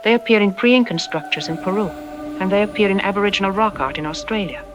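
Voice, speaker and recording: Guy doing troubled ancient artifacts expert on doomsday audio drama news, highish voice, slow ominous pauses, music behind. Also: natural voice. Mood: grave, uneasy. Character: grave, troubled archaeology expert doctor on the news.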